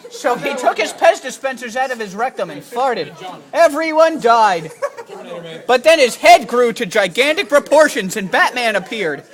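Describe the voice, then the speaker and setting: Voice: medium pitch, sing-song, normal speed, a faint crowd. A person improvising an absurd, profane comic story in a mock storybook narration.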